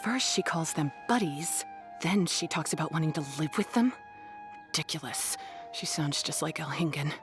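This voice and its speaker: Casting: aloof, competitive beast-tamer woman, lowish voice, curt scornful delivery, video game, light music behind. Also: expressive, moderate pace. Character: blunt, aloof young female beast tamer, fiercely competitive.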